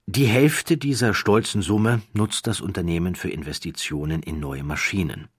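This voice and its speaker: Very deep-voiced male storyteller. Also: normal speed, slightly rough, no room sound, studio quality. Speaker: narrator. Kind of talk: scripted audio documentary storytelling.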